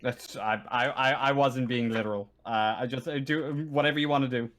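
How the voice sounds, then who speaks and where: A man's voice: medium pitch, steady tone, normal speed, fairly bright tone. A man running a tabletop game casually as game master, hesitant and rambling, with an apologetic manner.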